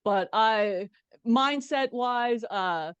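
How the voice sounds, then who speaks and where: A woman's voice: a little high, very slow, clear, resonant tone, dull audio. Midwest accent woman, laid back, laughs a lot. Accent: American Midwest. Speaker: guest. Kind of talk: casual group chat.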